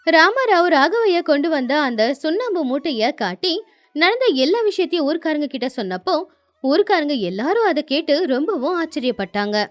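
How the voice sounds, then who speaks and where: A woman reads a scripted moral story. Her voice is high and swings animatedly through the lines, with light music underneath. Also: sing-song, fairly quick.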